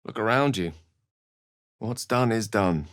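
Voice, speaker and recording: An actor playing an eloquent, theatrical and wry human wizard in a fantasy video game, with articulate, literary phrasing. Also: male voice, lowish voice, slow, fairly bright tone, smooth, dry recording, clean studio sound. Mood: solemn.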